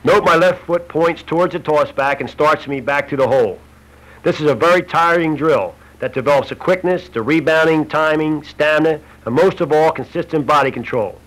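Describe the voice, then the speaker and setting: A man's voice: a little high, normal speed, a bit bright. A man reading scripted narration for an instructional basketball film, as a shooting instructor. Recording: noisy old Super 8 film soundtrack.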